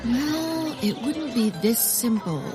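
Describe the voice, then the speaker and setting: An actress playing a quick-thinking woman detective in a comedic period audio drama. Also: on the high side, lively intonation, over music. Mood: brisk. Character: quick-thinking one-eyed woman sleuth in a comic period mystery.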